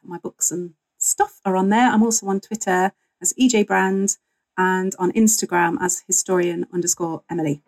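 A woman's voice: fairly low pitch, steady tone, normal speed, darkish tone, rough recording. A woman speaking as a guest author and historian, explaining things in a casual, informal, slightly wry way.

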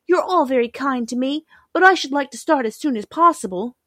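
A woman's voice: high voice, lively intonation, fairly quick, a bit bright, no echo, studio quality, pitched above the performer's own voice. A woman voicing an earnest, sweet and polite young girl in a storybook reading. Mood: grateful. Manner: eager, polite, exclamatory.

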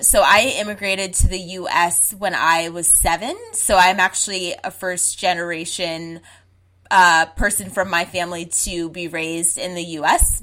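A woman's voice: medium pitch, a bit bright. Emphatic young woman born in Zimbabwe. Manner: emphatic, frank, repeats "like". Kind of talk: casual conversation.

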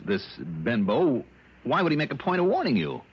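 An actor in an old-time radio drama playing a calm, skeptical American private investigator who asks measured, laconic questions. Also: male voice, mid-range voice, husky, heavily acted. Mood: probing. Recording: muffled old radio recording.

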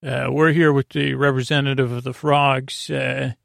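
A man hosting a bedtime podcast with a creaky voice and a lulling, goofy, meandering and halting delivery. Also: medium pitch, flat delivery, dry recording, clean studio sound. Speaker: podcast host. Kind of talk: rambling bedtime monologue.

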